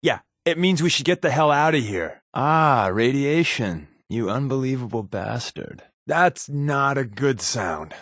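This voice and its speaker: Wisecracking American spy sidekick, animated. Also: male voice, mid-range voice, unhurried, hardly any pauses, dry recording. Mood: alert, quippy. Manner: dry, sarcastic, conversational quips. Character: wisecracking male post-apocalyptic spy companion, sarcastic and easygoing. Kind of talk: video game companion voice lines.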